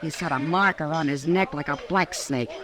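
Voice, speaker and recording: A woman's voice: low-pitched, moderate pace, fairly bright tone. An actress playing a worldly, concerned frontier saloon woman, frank and urgent, in 1950s radio western style. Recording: old radio, busy saloon crowd behind.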